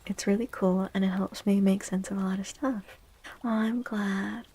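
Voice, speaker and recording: A woman hosting a soft-spoken ASMR tarot stream, talking gently and breathily, often near a whisper, with drawn-out delivery. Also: mid-range voice, steady tone, breathy edge. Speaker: livestream host giving tarot readings.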